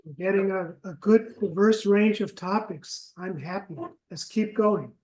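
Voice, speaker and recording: High-voiced upbeat male instructor, roomy echo. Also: darkish tone. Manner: upbeat, brisk, keeps things moving. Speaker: course instructor and moderator. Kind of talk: classroom moderation. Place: classroom.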